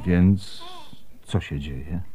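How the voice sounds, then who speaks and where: A man's voice: sits low, drawn-out words, warm tone, husky, heavily acted. An actor playing a worried, protective Byzantine husband and father in a radio drama, speaking earnestly. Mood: tender.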